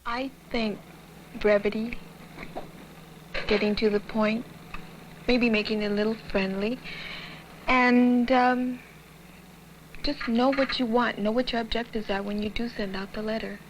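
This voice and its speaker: A woman's voice: on the high side, steady tone, measured pace, long pauses, a little hiss or hum, low-quality recording. A woman working as a secretary, speaking in a documentary interview on an old training film soundtrack.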